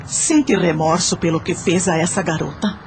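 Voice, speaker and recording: An actress playing a measured, probing questioner of spirits, with a Brazilian accent. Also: female voice, on the low side, a little mellow, a little hiss or hum, natural voice. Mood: inquiring. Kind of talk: Portuguese-language audio drama acting.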